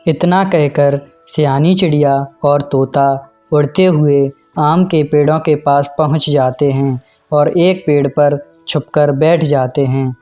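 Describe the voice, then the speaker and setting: धीमी रफ़्तार वाला पुरुष कहानीवाचक. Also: male voice, fairly high pitch, fairly even intonation, slow pace, hardly any pauses, dark tone, soft background music. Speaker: narrator. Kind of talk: scripted children's story narration.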